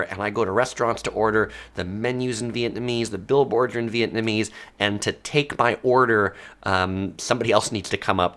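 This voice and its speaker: Chatty American man, lowish voice. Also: a bit bright. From United States. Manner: chatty, with frequent ums and uhs. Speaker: travel video host. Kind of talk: casual live-stream talk. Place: Southern California.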